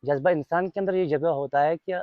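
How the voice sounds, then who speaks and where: A man's voice: fairly high pitch, quick pace, no room sound. Young male, Kashmiri accent, earnest interviewee repeating phrases for emphasis; dry, slightly lo-fi recording. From Kashmir, India. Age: young adult. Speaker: volunteer being interviewed. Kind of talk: unscripted interview answers.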